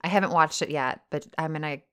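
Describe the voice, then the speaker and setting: Chatty Wisconsin woman, slightly low voice. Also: fast, clean tone. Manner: chatty, reads listener stories aloud. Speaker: podcast co-host. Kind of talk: casual chat.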